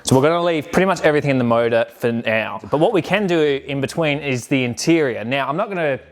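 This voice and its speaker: A man's voice: mid-range voice, lively intonation, quick pace. A man, casually talking while he works on a car in a garage, rambling and correcting himself as he goes. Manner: rambling, self-correcting, offhand. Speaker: car restoration vlogger.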